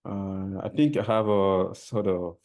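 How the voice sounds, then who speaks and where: A man with a low voice and a slight foreign accent answers interview questions hesitantly, restarting often. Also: fairly even intonation, a little slow. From Switzerland. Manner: hesitant, many restarts, soft-spoken, laughs shyly. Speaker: musician guest.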